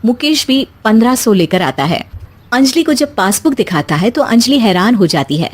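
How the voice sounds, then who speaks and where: High-pitched woman narrating a story. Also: sing-song, moderate pace, slightly rough.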